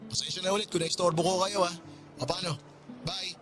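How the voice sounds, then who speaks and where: A man's voice: high-pitched, steady tone, fairly quick, over music, lightly acted. An actor playing a friendly, polite male friend in a radio drama. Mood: cheerful, inviting. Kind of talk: Tagalog radio drama acting.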